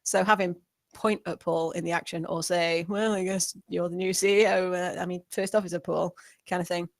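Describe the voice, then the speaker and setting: A woman's voice: fairly low pitch, little pitch movement, moderate pace, creaky, no echo, studio quality. A woman giving informal spoken script feedback, explaining her points in a rambling way.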